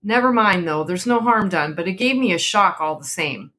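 A woman's voice: fairly low pitch, animated, normal speed, a bit bright. A woman voicing a credulous old husband and father in a storybook reading, with an eager but hesitant manner. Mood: shaken.

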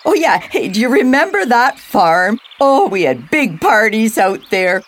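An actress playing a chatty, friendly older widow who lives in a seniors' residence, in an audio drama. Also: female voice, a little high, sing-song, a little slow. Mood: excited, nostalgic.

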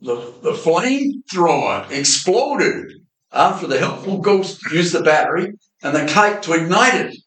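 An actor playing a weary, wisecracking detective in an amateur old-time radio drama. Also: male voice, a little high, expressive, unhurried, a bit bright. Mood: earnest, recounting. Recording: roomy, echoey amateur recording.

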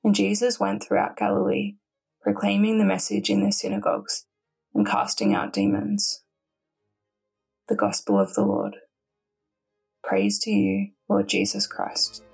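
A woman reads scripture in a mid-pitched voice full of heavy fry. The tone is husky rather than clean, and soft music plays beneath her reading. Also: sing-song, a little slow, long pauses, rough recording. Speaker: religious sister reading the Gospel. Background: background music.

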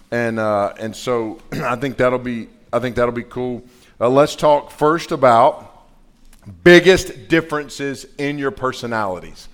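A man, casually hosting a couples panel at a live event, relaxed and chatty. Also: medium pitch. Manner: relaxed, chatty, laughs often.

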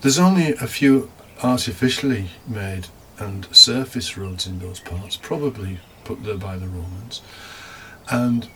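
A man's voice: fairly low pitch, a little slow, sharp tone, low-quality recording. A man preaching a homily as a deacon, reflective, partly scripted with informal asides and rhetorical questions. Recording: faint background noise.

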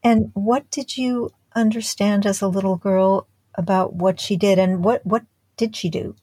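A woman conducting an interview, putting measured, probing questions to her guest. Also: mid-range voice, steady tone, mellow, slightly rough, no room sound.

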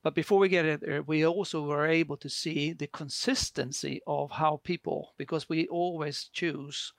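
A man's voice: on the high side, fairly even intonation, normal speed, gravelly, dry recording, clean studio sound. A man from Sweden with a Swedish accent, a guest explaining his work in a hesitant, filler-heavy way. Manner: hesitant, many fillers and restarts. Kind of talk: conversational explanation.

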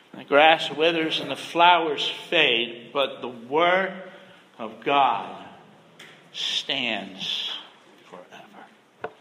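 A middle-aged man preaching a sermon as a pastor, soft-spoken, gentle and measured. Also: mid-range voice, in a large hall, low-quality recording. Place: church sanctuary.

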